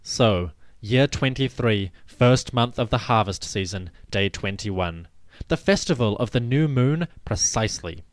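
A man giving a scripted, dramatic narration of ancient history in a measured, solemn storytelling manner. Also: on the low side, animated, no room sound. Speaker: podcast host and narrator.

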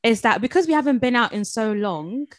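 A young woman with a slightly high voice tells animated stories on a podcast, acting out her own reactions as she goes. The recording is dry and close. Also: a little fast, no room sound. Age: young adult. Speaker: podcast co-host. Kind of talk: casual chat.

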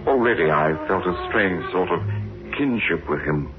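Haunted deep-voiced man in radio horror. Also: expressive. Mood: brooding, haunted. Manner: dramatic, anguished, halting delivery. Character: haunted, tormented man, a reluctant blood donor recounting a nightmare. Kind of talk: 1960s radio horror acting. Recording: muffled old radio recording with music.

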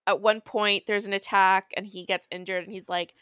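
A young woman podcast host with a medium-pitched voice, recorded close and dry. She chats casually through a book recap, irreverent and crude, swearing freely. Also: flat delivery, normal speed, fairly bright tone, clear, resonant tone, dry recording.

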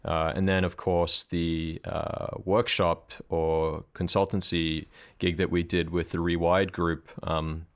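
A man with a very low voice talks through ideas in a relaxed podcast interview. He is thoughtful and explanatory, and often pauses with an um or uh as he works out his point. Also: clear, resonant tone. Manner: thoughtful, explanatory, frequent ums and uhs. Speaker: guest, marketing director.

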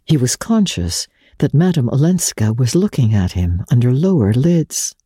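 A woman giving a dramatic reading of literary fiction as an audiobook narrator, with measured, expressive and deliberate phrasing. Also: very deep voice, breathy edge, no echo, studio quality.

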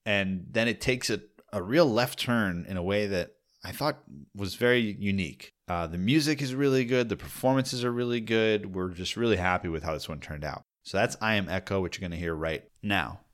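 A man's voice: fairly low pitch, moderate pace, hardly any pauses. A man introducing a show as a podcast host, talking in an informal, conversational way.

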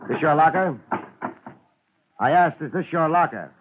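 A man's voice: on the high side, animated, measured pace, highs cut off. A man playing a tough, laid-back private detective, laconic and wry, in a 1950s radio crime drama. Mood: needling. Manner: wry, hard-boiled, laconic. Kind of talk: 1950s radio drama acting with first-person narration. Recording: old 1950s radio recording.

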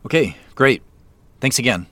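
A man's voice: mid-range voice, lively intonation, moderate pace, a bit bright, no echo. A man playing a wry, wary amateur sleuth in an audio drama, speaking in a casual, naturalistic way. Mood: polite, relieved. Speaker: lead actor.

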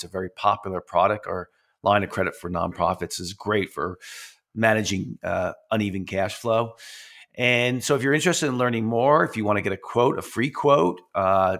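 A man hosting a podcast while he has a head cold, which leaves him nasal. He talks in a halting way, with many fillers and restarts. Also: fairly low pitch, gravelly, a bit bright. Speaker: podcast host. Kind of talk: casual interview, reading sponsor spots.

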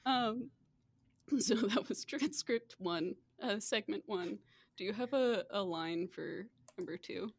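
A woman's voice: mid-range voice, slow pace, husky. A woman hosting a podcast in casual, chatty banter, often giggling as she talks. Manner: giggly, laughs often while talking. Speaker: podcast host.

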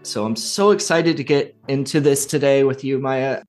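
Soft-spoken male podcast host. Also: mid-range voice, steady tone, faint music behind. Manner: gentle, slow, friendly. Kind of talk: interview hosting.

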